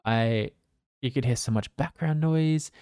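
A man, a streamer, giving casual advice in a relaxed, rambling way with fillers and restarts, talking into a condenser desk mic. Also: medium pitch, a little slow, smooth, no echo, clean studio sound. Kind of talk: casual advice talk to camera. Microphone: condenser desk mic with pop filter.

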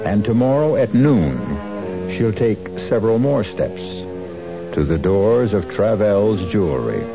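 A male host narrates an old radio mystery slowly and with suspense, his pitch rising and falling widely. Music plays clearly behind him, and the sound is dull and dated. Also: lively intonation. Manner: measured, suspenseful.

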